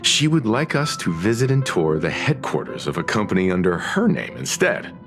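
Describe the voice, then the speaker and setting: A courteous gentleman in a game, his voice low and steady. He speaks politely and in measured phrases over clearly audible game music.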